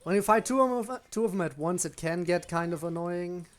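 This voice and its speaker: A game streamer with a medium-pitched voice commentates live play in a casual, unscripted way. They think aloud about the next move and address the enemies directly, as in a relaxed solo stream. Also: a little fast.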